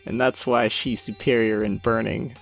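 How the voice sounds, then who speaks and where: A man's voice: fairly low pitch, flat delivery, normal speed, vocal fry. A young man hosting a casual audio commentary, talking in an informal, amused, chatty way. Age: young adult. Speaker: commentary host, series creator. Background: faint music from the episode.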